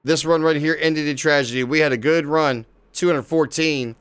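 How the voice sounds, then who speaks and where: Man, game streamer, casual and excitable, swears freely, slightly high voice. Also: normal speed, clear, resonant tone. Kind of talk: casual live-stream commentary.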